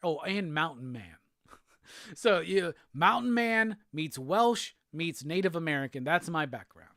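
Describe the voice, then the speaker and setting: A man from Alabama with a Southern accent, hosting a casual live show and answering chat comments in a relaxed, rambling way. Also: on the high side, a little slow, no room sound, studio quality. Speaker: host.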